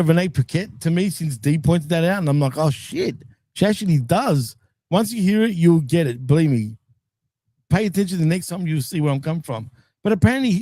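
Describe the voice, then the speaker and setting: A man co-hosting a casual podcast, laid-back and quick to laugh, swearing casually as he chats. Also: fairly high pitch, mellow, no echo. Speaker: co-host. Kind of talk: casual chat.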